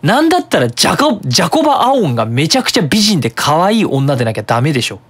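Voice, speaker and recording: A man hosting a web radio show, explaining his opinions in a casual, animated monologue. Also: on the high side, fast talker, husky.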